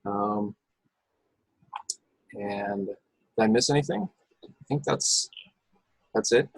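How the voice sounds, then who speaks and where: A man's voice: fairly low pitch, expressive, takes it slowly, vocal fry, breathy edge, no room sound, rough recording. A man reading scripted action descriptions as narrator in an informal, unpolished way on an amateur online table read. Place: video call from a room.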